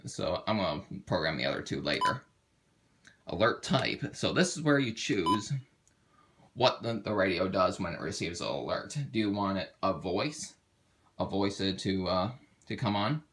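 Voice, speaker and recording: A man giving a casual, halting how-to walkthrough, thinking aloud as he demonstrates a product. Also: low-pitched, expressive, fairly bright tone. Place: indoors at a table. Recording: very noisy home video, clicks and beeps.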